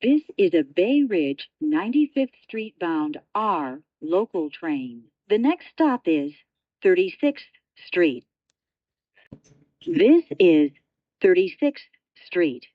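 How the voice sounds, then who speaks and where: Slow, dull-sounding female transit announcer. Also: lowish voice, very animated, dry recording. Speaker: subway train announcer. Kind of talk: scripted automated transit announcement.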